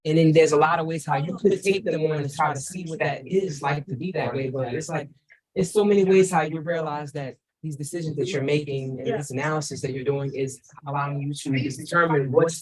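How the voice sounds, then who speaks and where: A man's voice: on the high side, fairly even intonation, brisk, low-quality recording. A man in his thirties hosting a casual interview, rambling with many fillers. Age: 30s. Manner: rambling, filler-heavy, enthusiastic. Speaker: host.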